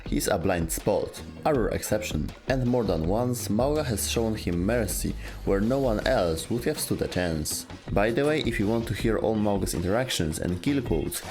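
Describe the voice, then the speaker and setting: A man's voice: fairly low pitch, lively intonation, moderate pace, few pauses, darkish tone, faint music behind. A man reading a scripted explainer narration as the narrator of a video game lore show.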